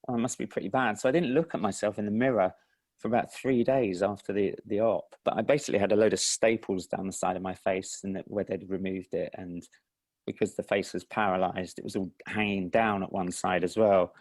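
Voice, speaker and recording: Low-voiced man with an Estuary accent. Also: fairly quick, dry recording. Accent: Estuary English. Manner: relaxed, self-deprecating, with frequent restarts and laughs. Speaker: indie filmmaker and teacher, guest. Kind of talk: casual interview chat.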